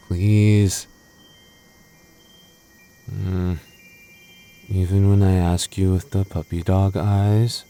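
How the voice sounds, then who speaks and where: A man playing a clingy, jealous young boyfriend in an intimate roleplay audio, talking casually and haltingly, prone to stammering when flustered. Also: sits low, mellow. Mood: smug, playful pleading.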